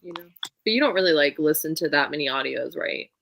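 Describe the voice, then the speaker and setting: A woman's voice: deep voice, expressive, fast, gravelly, a bit bright, a little hiss or hum. A woman chatting casually and expressively about books as a co-host, in an informal, emphatic way. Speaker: book show co-host. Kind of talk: casual book chat.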